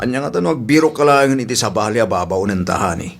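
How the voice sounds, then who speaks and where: A man's voice: medium pitch, animated, fast talker, vocal fry, over loud music. A man playing a stern older father figure in an audio comedy drama, scolding and lecturing.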